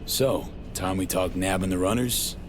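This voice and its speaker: A man's voice: low voice, a little slow, music in the background. A man playing a guarded, street-smart mercenary in a video game, terse and blunt, with casual slangy speech. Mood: wary, probing. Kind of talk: cinematic game acting, casual slangy dialogue.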